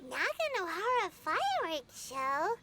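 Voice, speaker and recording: A woman voicing a tiny, excitable childlike fairy companion in an anime game, eager and squeaky. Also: a little slow, background noise, full character voice. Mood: curious.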